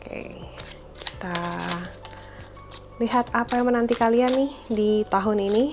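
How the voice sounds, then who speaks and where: A woman's voice: fairly high pitch, steady tone, unhurried, over music. A woman reading tarot cards for viewers in a casual, reflective way, with many fillers and a slightly blocked nose from a cold. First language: Indonesian. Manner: gentle, reflective, with frequent fillers. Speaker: tarot reader.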